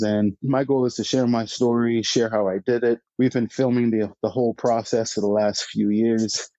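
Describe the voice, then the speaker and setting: A young man talks as an interview guest, chatty and quick to laugh, with plenty of "uh" fillers. His voice sits at an ordinary pitch for a man. The sound is dry and a bit dull and lo-fi. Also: dark tone, dry recording. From Bahamas. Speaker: guest, founder. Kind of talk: casual interview storytelling. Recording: slightly dull lo-fi remote audio.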